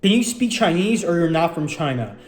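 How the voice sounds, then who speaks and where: A man's voice: a little high, very animated, brisk. A young man in his late teens, rambling casually through viewer questions with lots of fillers and self-deprecating asides. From United States. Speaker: YouTuber answering viewer questions. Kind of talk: casual Q&A chat. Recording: roomy room with background music.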